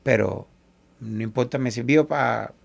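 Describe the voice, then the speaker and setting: Gravelly Cuban-accented man telling stories. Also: mid-range voice, a little slow. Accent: Cuban Spanish. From Cuba. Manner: animated, quotes others in lively asides. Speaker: salsa singer and guest. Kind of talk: casual interview storytelling.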